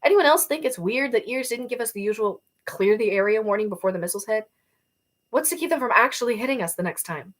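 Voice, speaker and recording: A woman's voice: a little high, expressive, fast, pitched above the performer's own voice. A woman voicing a restless, earnest young nonbinary soldier and pilot in a novel reading, speaking casually. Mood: agitated.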